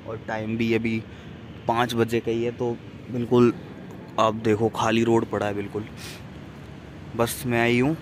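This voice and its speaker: A young man vlogging casually outdoors on a phone, chatting upbeat to his viewers. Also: medium pitch. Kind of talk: casual vlog to camera. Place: outdoors by a road at dawn. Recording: loud road and traffic noise. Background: traffic noise.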